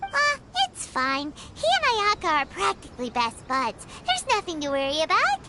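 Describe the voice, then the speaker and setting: A woman voicing a tiny, cheerful, chatterbox fairy-like companion in a video game, given to excited exclamations. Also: high voice, moderate pace, very noisy. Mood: curious, chatty. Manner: excitable, exclaiming, refers to self by name.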